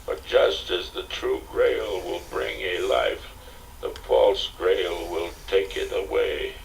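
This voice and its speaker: An ancient crusader knight, played by a male narrator, speaks very slowly in a very deep voice. He is grave and archaic, a storybook guardian, heard at some distance on a noisy tape. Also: gravelly, full character voice. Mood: grave warning. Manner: slow, solemn, archaic speech. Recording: distant, noisy cassette audiobook recording.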